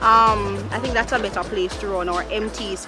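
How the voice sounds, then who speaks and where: A woman answering calmly and thoughtfully in a street interview. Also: mid-range voice, normal speed, music in the background. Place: street outdoors.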